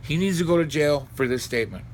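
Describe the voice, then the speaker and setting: A man's voice: a little high, quick pace, slight background noise. An American man giving casual, opinionated and blunt online commentary over clips he plays. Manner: opinionated, blunt, swearing, mimics others mockingly.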